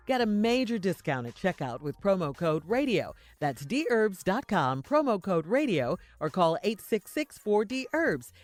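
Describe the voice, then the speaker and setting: A woman's voice: medium pitch, dry recording. A woman co-hosting a radio show, reading listener letters and sponsor messages. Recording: faint music behind the voice. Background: soft music.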